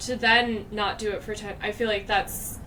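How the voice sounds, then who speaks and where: A woman's voice: medium pitch, flat delivery, normal speed, sharp tone. A woman hosting a podcast in casual, reflective chat, thinking aloud with many fillers. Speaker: podcast host. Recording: distant mic, background noise.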